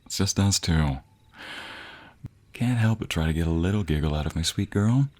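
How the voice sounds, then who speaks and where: A man's voice: sits low, moderate pace, a little mellow. A man playing a playful, doting scoundrel of a lover in a romantic audio roleplay, teasing affectionately. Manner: teasing, affectionate, chuckling banter.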